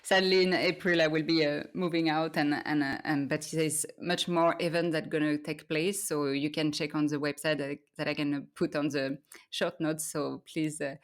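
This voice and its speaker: A native French-speaking woman with a French accent, casually chatting as a podcast interviewer. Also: deep voice.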